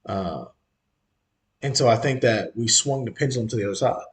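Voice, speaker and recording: A man's voice: medium pitch, normal speed. A man in his thirties hosting a podcast, talking in a casual, slangy and emphatic way and often repeating himself. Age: 30s. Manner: conversational, emphatic and repetitive, slangy. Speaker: podcast host. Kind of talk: casual monologue.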